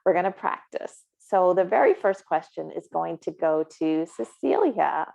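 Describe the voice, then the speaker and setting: A woman's voice: fairly low pitch, expressive, moderate pace, creaky, warm tone, highs cut off. A woman presenting a career workshop in an encouraging, upbeat way. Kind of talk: online career workshop talk.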